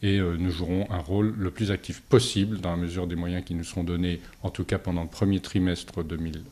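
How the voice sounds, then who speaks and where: A man gives a formal, measured political statement as a local opposition politician, halting often on long hesitations. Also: low-pitched, level tone, dark tone. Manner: hesitant, halting delivery with frequent long filler pauses. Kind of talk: political statement to camera, semi-prepared.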